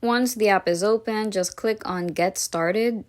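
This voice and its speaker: A woman hosting a software tutorial, narrating casual step-by-step instructions. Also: mid-range voice, unhurried. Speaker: tutorial host.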